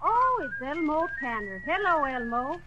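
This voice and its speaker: A woman's voice: sits high, sing-song, normal speed, heavy background noise. A woman speaking cheerfully and sweetly chiding in a 1930s radio comedy. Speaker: comedy show co-lead. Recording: dull 1930s radio recording.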